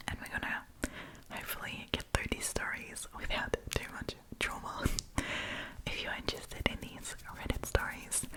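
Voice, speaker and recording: A woman hosting an ASMR storytime, reading and chatting in a soft, breathy whisper with a gossipy, friendly manner. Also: poor audio. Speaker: host of a storytime channel.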